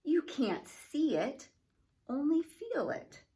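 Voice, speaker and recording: An earnest little storybook girl, read by a woman, speaks tenderly about feelings. Her phrasing is gentle, deliberate and lyrical. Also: high voice, sing-song, pitched above the performer's own voice, full character voice. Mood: tender, wondering.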